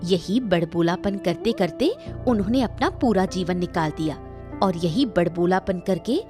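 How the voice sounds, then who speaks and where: A woman's voice: on the low side, normal speed, natural voice. A woman voicing a proud woman of a rich family in a cartoon story, who speaks in a cutting, snobbish way. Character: proud, sharp-tongued woman of a rich house. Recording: soft background music.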